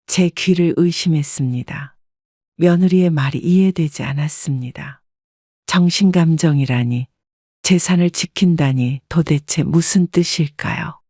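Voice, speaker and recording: A woman narrating a first-person family story in a measured, subdued reading. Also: sits low, warm tone, no room sound, clean studio sound.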